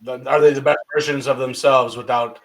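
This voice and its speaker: A man's voice: medium pitch, steady tone, a little fast. A man hosting a casual interview, affirming his guest and tagging his points with "right?". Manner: affirming, asks leading questions with "right?". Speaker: podcast host.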